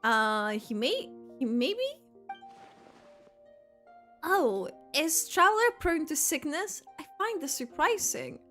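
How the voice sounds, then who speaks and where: Bright, high-voiced woman streamer. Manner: playful, expressive, halting asides. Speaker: game streamer. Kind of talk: casual gameplay reaction commentary. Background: soft game music.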